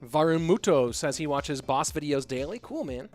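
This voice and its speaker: A man casually casting an esports stream, reading viewer chat aloud and answering in a relaxed, rambling way. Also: a little high, moderate pace, faint music behind.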